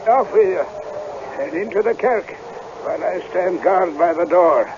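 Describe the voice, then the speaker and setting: An actor playing a gruff, aging Scottish villager in a radio drama. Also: male voice, high-pitched, a little slow, darkish tone, lo-fi sound. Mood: watchful, friendly. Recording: noisy, band-limited old radio broadcast.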